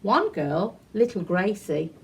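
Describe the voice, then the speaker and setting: A woman reading a rhyming children's picture book aloud as a storyteller, in an animated, deliberate way. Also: medium pitch, slightly rough. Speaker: library storyteller.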